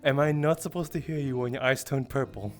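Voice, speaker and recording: A man's voice: mid-range voice, steady tone, a little fast. A man streaming improvised game roleplay with casual asides, sounding bewildered and hesitant. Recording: guitar music behind the voice.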